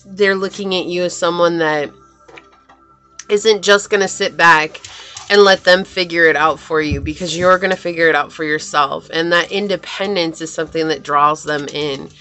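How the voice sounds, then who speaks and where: A woman's voice: fairly low pitch, steady tone, moderate pace, sharp tone, faint music behind. A young woman with a scratchy voice, chatting casually and reassuringly as she gives a tarot reading. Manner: chatty, reassuring, laughs easily. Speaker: tarot reader.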